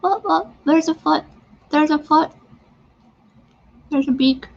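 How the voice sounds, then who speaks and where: A woman's voice: high-pitched, takes it slowly, vocal fry. A woman giving a casual live makeup tutorial, talking through each step. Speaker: mime makeup demonstrator. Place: live online stream from a socially distanced setting. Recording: dull, lo-fi livestream audio.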